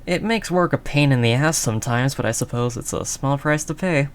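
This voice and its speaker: A man's voice: medium pitch, fairly quick, clear, resonant tone, close to an everyday voice. A man playing a world-weary, doting mafia boss in an intimate roleplay, talking in teasing street slang with pet names. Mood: teasing, fond. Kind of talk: intimate scripted roleplay audio drama monologue.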